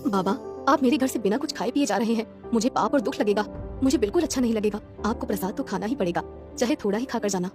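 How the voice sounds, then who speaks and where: A woman voicing a humble, devout, patient poor young woman in a cartoon moral story, speaking gently and earnestly. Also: fairly high pitch, fast, music in the background, lightly acted. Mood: respectful.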